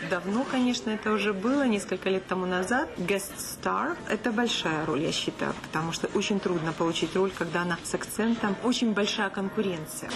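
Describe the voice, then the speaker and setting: A woman's voice: fairly quick, hardly any pauses, background noise. A middle-aged woman, an acting teacher and actress, talking earnestly and expressively in a casual interview. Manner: expressive, earnest, with lively pitch jumps.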